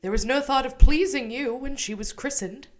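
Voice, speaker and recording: A woman playing a quick-witted, earnest, lovesick young man in a Shakespearean radio play. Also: sits high, lightly acted. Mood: dry retorts.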